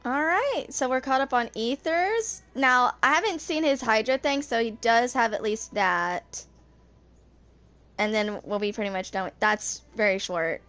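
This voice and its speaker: A woman's voice: fairly high pitch, measured pace, fairly bright tone. A woman in her twenties casually commenting on a game, half talking to herself. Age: 20s. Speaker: gamer video host. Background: game music.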